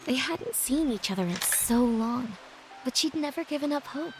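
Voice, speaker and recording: A woman's voice: high-pitched, lively intonation, normal speed, poor audio. A young woman playing a student who narrates her journey from home in a reflective, scripted storytelling manner for a game. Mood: warm, reflective. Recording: music behind the voice. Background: music.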